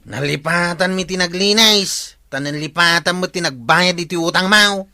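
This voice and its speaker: A man's voice: sits high, normal speed, sharp tone, dry recording, studio quality, heavily acted. A man playing an exasperated village man who complains emphatically, in an Ilocano radio comedy drama. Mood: demanding.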